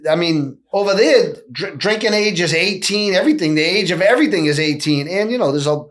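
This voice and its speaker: A man in his fifties co-hosting a casual podcast. His voice sits a bit higher than most men's. He talks in a streetwise, emphatic way and repeats himself as he tells stories. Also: expressive, brisk, fairly bright tone. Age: 50s. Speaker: podcast co-host. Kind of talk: casual chat, storytelling.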